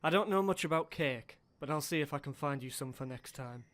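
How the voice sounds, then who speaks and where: Man acting as military commander, fairly high male voice, courteous, apologetic, radio drama. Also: a little fast, fairly bright tone, natural voice. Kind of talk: radio play acting, fan production.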